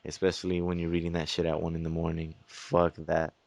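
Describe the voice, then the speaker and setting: A man's voice: low-pitched, completely flat delivery, fairly quick, gravelly, darkish tone, no echo. A young man chatting casually in emphatic, repetitive slang with plenty of swearing. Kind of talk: casual chat.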